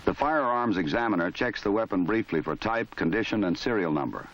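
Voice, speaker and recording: A man giving formal, measured scripted narration for an old training film. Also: fairly low pitch, animated, a little hiss or hum. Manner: measured, formal, matter-of-fact. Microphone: voice-over. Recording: dull lo-fi 1970s film soundtrack.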